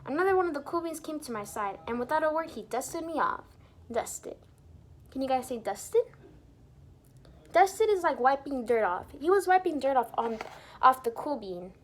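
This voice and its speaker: A woman with a high voice reads a children's storybook aloud in a teacherly way. Her delivery is very lively and expressive, swinging up and down as she addresses young listeners. There is some noise in the recording. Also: moderate pace. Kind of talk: animated read-aloud for young children with asides explaining words.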